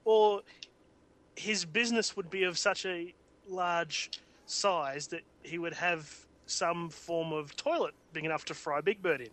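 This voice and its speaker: A man's voice: fairly high pitch, unhurried, a bit bright. A man with an Australian accent, co-hosting a podcast in casual, rambling, jokey chat. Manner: jokey, rambling, laughs often. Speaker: podcast co-host.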